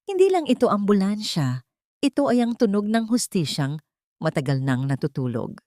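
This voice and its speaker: A woman's voice: mid-range voice, very animated, moderate pace, dark tone, no echo, studio quality. A woman narrating a scripted drama in a theatrical, suspenseful way.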